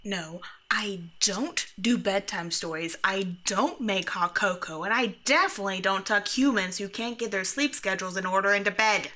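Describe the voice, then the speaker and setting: Under-bed monster, female, played by a woman: forceful bright tone, mid pitch, bossy and exasperated, ASMR roleplay delivery. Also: normal speed, few pauses, lightly acted. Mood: grumpy, threatening. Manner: exasperated, bossy, mock-threatening. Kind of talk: scripted ASMR roleplay, speaking to the listener.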